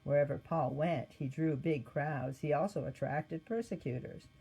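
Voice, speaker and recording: Female storybook narrator: lowish voice, soft-edged and a little husky, expressive scripted read. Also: normal speed. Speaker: storybook reader.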